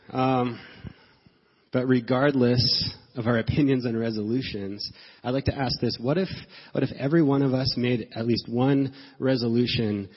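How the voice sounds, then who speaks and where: A male pastor preaching while sick with a cold, sounding nasal and stuffed up, speaking earnestly with slow emphasis and halting restarts. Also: medium pitch, slightly rough. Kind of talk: sermon. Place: church sanctuary. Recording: dull sound with long hall reverb.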